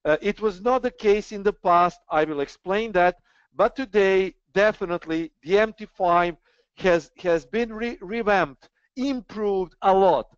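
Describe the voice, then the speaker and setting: A man's voice: high voice, a little slow, clean tone, no room sound. A man hosting an online trading webinar, talking in an earnest, persuasive way with frequent hesitations. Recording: dull, dry online webinar audio.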